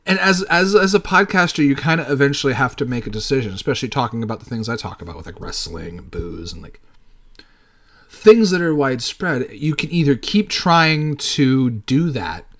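Animated man in his late thirties, podcasting. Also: medium pitch, normal speed. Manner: rambling, swearing freely. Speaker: podcast host. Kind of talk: casual solo podcast monologue.

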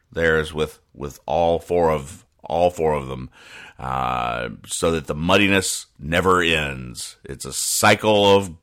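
Deep-voiced deadpan man explaining jokes. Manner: deadpan, over-explains with halting restarts and emphatic repetition. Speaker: podcast host. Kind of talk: deadpan comedic explanation of newspaper comic strips.

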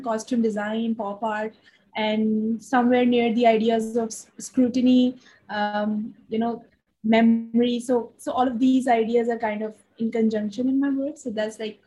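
A woman's voice: a little high, warm tone, low-quality recording. A woman from Pakistan, an artist guest on a video call interview, talking casually with lots of fillers and restarts. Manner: rambling with many fillers and restarts.

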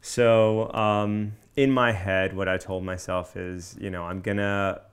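A man's voice: deep voice, flat delivery, slow pace, clean tone. A man telling a personal story candidly, in a conversational and reflective manner. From Miami, United States.

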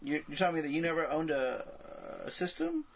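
A man co-hosting a podcast in informal, excitable, sweary casual chat. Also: a little high, fairly quick, gravelly, slight background noise. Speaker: podcast co-host.

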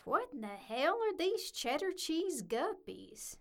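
Woman acting a high-voiced, sassy Southern mom. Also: big pitch swings, slow pace, heavy background noise, heavily acted. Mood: irritated. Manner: sassy, exasperated, folksy. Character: brash, foul-mouthed Southern single mom at a ball field. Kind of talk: audio drama acting with narration.

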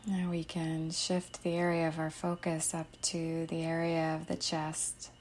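A woman guides a meditation at a very slow, unhurried pace. Her voice sits slightly low and she gives gentle, soothing instructions with soft endings to her phrases. A faint noise runs behind the recording. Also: completely flat delivery.

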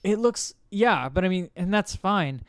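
A man's voice: high voice, lively intonation, measured pace, no room sound. A man hosting a casual group discussion, giving his opinions in a conversational way. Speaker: podcast host.

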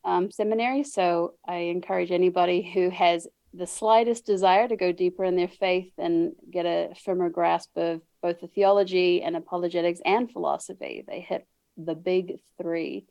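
A woman's voice: lowish voice, steady tone, normal speed, creaky, dark tone, no echo, dull audio. A woman in her thirties from New Zealand with a New Zealand accent, chatting as a podcast guest, often laughing and restarting her sentences. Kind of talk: casual interview chat. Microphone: video call.

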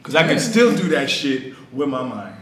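A man chatting casually and telling anecdotes in slangy, profane language, recorded in an echoey room with faint rumble. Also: big pitch swings, moderate pace.

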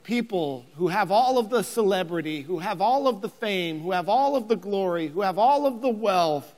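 A man's voice: sits high, lively intonation, moderate pace. Man preaching in a reverberant hall. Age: 30s. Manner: builds to emphatic near-shouting, repeats words. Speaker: pastor. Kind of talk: sermon.

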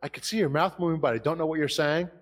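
A man's voice: a little high, fairly even intonation, very fast. A man co-hosting a podcast stream, teasing with crude jokes in casual chat. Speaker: podcast co-host.

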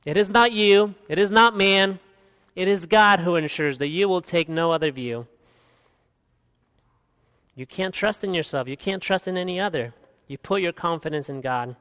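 A man in his thirties from Guatemala, preaching an expository sermon as pastor, earnest, swinging from emphatic peaks to quiet asides. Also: sits high, moderate pace, pauses often, smooth. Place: church hall. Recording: long hall reverb.

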